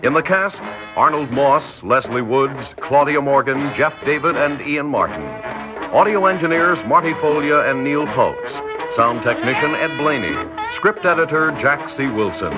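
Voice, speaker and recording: A man's voice: fairly high pitch, animated, normal speed. A man with an American accent reading credits as a formal, declamatory 1960s radio announcer. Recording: dull 1960s radio recording with music.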